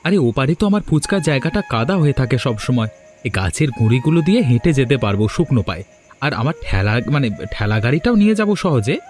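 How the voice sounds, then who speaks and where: A man's voice: mid-range voice, very animated, a little fast, a little mellow, soft background music, close to an everyday voice. A man voicing a hard-up, determined village snack seller, earnest and outspoken, in a cartoon. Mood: eager. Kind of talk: Bengali moral-story cartoon dubbing.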